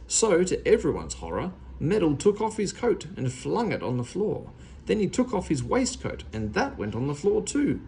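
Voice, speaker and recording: A man's voice: high-pitched, wildly swooping pitch, moderate pace, gravelly, warm tone, slight background noise, poor audio. A man reading a children's storybook aloud as narrator. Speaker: story reader.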